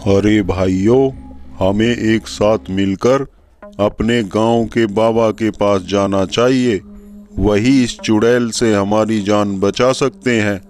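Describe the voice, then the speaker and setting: A man's voice: fairly low pitch, fairly even intonation, takes it slowly, darkish tone. A man voicing a practical villager advising his neighbours in a cartoon. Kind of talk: Hindi cartoon character dialogue.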